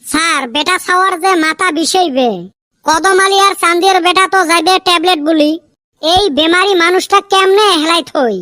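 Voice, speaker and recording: A woman's voice: extremely high pitch, steady tone, moderate pace, sharp tone, no room sound, clean studio sound. A woman voicing cheeky, scheming village schoolboys in a comedy cartoon, arguing and wheedling with mock innocence in a Rajbongshi accent. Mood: argumentative. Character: cheeky, scheming village schoolboy pupils, high-pitched and childlike. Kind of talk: Bengali comedy cartoon dubbing.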